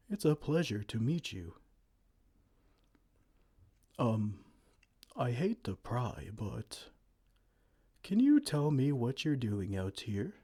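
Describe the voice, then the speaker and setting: A man voicing a gentle, kind male giant who talks softly and soothingly in an ASMR roleplay. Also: medium pitch, slightly rough, exaggerated voice. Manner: soft, soothing, comforting.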